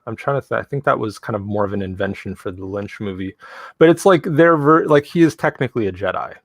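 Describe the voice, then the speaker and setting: A man in his forties with a low voice, chatting casually as a co-host. He rambles loosely through his thoughts and checks in with "right?" a lot. The sound is close and dry, with no room around it. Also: quick pace, vocal fry, no room sound. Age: 40s. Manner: relaxed, talks in long run-on thoughts with many "like" and "right?". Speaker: podcast co-host. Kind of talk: casual chat.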